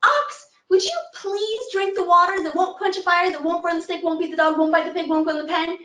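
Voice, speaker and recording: A woman voicing a fretful old woman who begs and scolds her animals, in a high singsong. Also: a little fast, a bit bright, reverberant, distant mic. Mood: pleading. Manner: high pleading singsong repetition.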